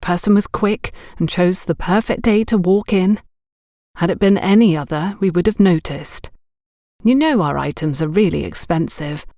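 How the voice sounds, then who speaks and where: A woman's voice: on the low side, normal speed, mellow, no room sound, studio quality, natural voice. A woman voicing a worried, aggrieved shop girl in an audiobook, speaking mournfully and with emphasis. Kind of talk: audiobook character dialogue.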